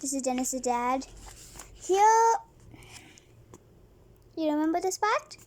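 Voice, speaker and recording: A woman's voice: high voice, lively intonation, slow pace, a little mellow. A young girl hosting a read-along, reading a storybook aloud expressively and chatting to viewers. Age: child. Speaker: host of a read-along video.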